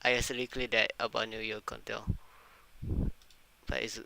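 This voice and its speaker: Halting male game streamer, very noisy mic. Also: fairly low pitch, flat delivery, creaky. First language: not English. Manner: halting, stumbling non-native English. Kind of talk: casual live gameplay commentary. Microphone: uncovered microphone prone to breath pops. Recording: very noisy uncovered mic with breath blasts.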